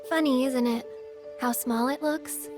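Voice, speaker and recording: A playful, forward young heroine from a video game. Her voice sits high and she talks with bright, friendly eagerness, with clear music underneath. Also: fairly even intonation, measured pace. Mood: gently teasing.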